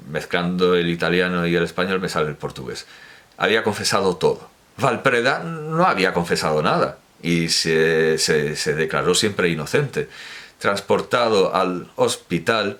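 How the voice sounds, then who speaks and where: Deep-voiced man rambling about art. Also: fairly bright tone. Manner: rambling asides with frequent fillers and self-corrections. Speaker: host. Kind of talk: casual lecture on art history.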